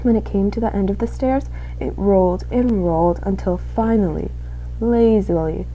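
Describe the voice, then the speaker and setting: Young woman student reading a kids book out loud, mellow, faint noise behind. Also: medium pitch, moderate pace, rough recording. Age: young adult. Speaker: student reader. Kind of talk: expressive children's storybook narration.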